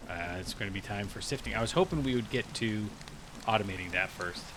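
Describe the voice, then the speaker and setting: A man's voice: on the low side, brisk, noisy background. A man giving casual, rambling commentary while playing a video game, thinking aloud with many restarts. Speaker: gaming video creator. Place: cold room with a heater.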